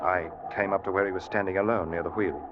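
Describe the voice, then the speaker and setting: A man's voice: sits low, fairly even intonation, quick pace, heavy background noise, close to an everyday voice. An actor playing an earnest, uneasy ship's officer telling his tale in an old-time radio drama, with dramatic intensity. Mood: brooding narration. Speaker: actor and first-person narrator. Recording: muffled vintage radio recording, loud music.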